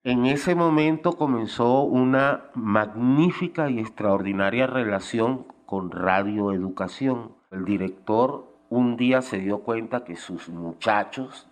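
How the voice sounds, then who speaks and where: A man reminiscing in an interview, speaking colloquially with some salty language. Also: lowish voice. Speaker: interviewee, former guerrilla radio member.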